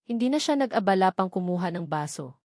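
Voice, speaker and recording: A woman's voice: medium pitch, sing-song, quick pace, a little mellow, no room sound. Filipino woman narrating a dramatic story, dry sound. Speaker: narrator of romance stories.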